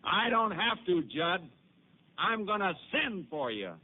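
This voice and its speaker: A man with an American accent acting a methodical Texas Ranger in a 1950s radio drama, measured and matter-of-fact as he narrates and plays scenes. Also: high-pitched, big pitch swings, heavily acted. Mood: urgent, commanding. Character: steady, methodical Texas Ranger lawman, male detective. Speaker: lead actor and narrator. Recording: noisy, narrow-band old radio recording.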